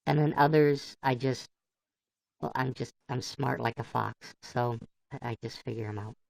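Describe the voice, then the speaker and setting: A man with a gravelly, voice-changed sound, chatting casually and easygoing as he hosts a livestream. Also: medium pitch, moderate pace, no echo, low-quality recording. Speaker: livestream host playing a computer-generated fox.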